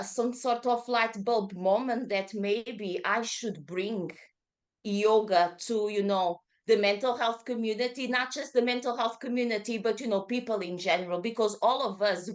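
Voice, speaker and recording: A woman in her thirties with a Portuguese accent, a yoga teacher chatting in an interview, speaking with frequent fillers and self-corrections. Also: mid-range voice. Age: 30s. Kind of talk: casual interview chat.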